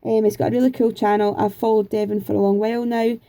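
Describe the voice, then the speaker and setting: Scottish woman, forties, slightly high voice, very dark mellow tone, chatty and homely. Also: little pitch movement, fast talker. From Scotland. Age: 40s. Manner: chatty, rambling, homely, with frequent fillers. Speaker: craft vlogger answering viewer questions. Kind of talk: casual chat.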